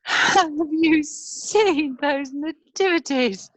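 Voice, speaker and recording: A woman's voice: sits high, extremely slow, fairly bright tone, full character voice. A woman reading the part of a blunt, cheerfully crude mum at a school party, in an amateur online play reading, speaking in a drawling way.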